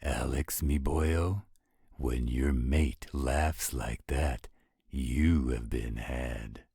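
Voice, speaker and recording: A man's voice: rumbling low, drawn-out words, creaky, dark tone, slightly rough, no echo, clean studio sound, exaggerated voice. A man voicing supernatural male characters at a dinner party in a theatrical roleplay audio drama. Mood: lordly, amused.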